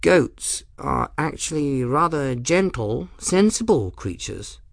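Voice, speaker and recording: A man voicing a bookish, distracted middle-aged gentleman in a storybook, mild, wordy and given to absent-minded muttering. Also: mid-range voice, sing-song, unhurried, vocal fry, no room sound, studio quality, pitched above the performer's own voice, exaggerated voice. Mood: mild amusement.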